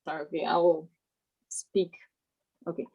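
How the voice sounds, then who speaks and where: A woman from Rio de Janeiro introducing herself on a video call, halting and apologetic. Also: sits low, fairly even intonation, gravelly, warm tone, breathy edge, faint music behind, rough recording. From Rio de Janeiro, Brazil. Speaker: panel artist.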